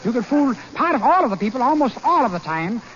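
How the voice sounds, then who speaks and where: A man's voice: very high voice, very animated, fast talker, fairly bright tone, pitched above the performer's own voice, full character voice. A man voicing a flustered, blustering small-town mayor in 1940s radio comedy acting. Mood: exasperated. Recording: dull 1940s radio broadcast, faint hiss.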